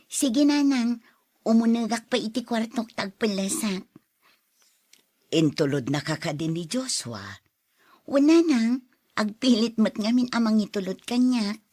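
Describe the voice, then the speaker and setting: Slow, high-voiced young bride-to-be acted by a woman. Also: expressive, a little mellow, no room sound. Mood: tender, caring. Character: devoted young bride-to-be, affectionate and tender daughter. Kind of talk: audio drama acting.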